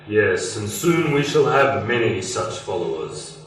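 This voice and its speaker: A man's voice: on the low side, big pitch swings, a little slow, fairly bright tone. A man playing a solemn, grandiose cult leader in an amateur sci-fi radio play. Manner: grandiose, formal. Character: solemn male cult leader, reverent about prophecy. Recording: very echoey, distant, faint music.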